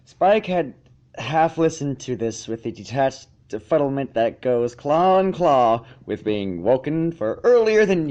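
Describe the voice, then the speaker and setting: A man reads a story aloud in a relaxed, mellow tone. His pitch swings expressively, and his amateur narration has stumbles and repeated phrases. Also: dull audio.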